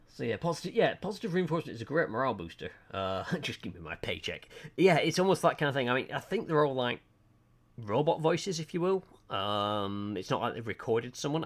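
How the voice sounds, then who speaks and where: A man from Lancashire with a Lancashire accent, chatting casually and hesitantly to viewers on a livestream, full of ums. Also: mid-range voice. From Lancashire, England. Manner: rambling, chatty, self-deprecating, hesitant with frequent ums. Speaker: art livestreamer. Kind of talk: casual chat to stream viewers. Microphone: desk mic, webcam off.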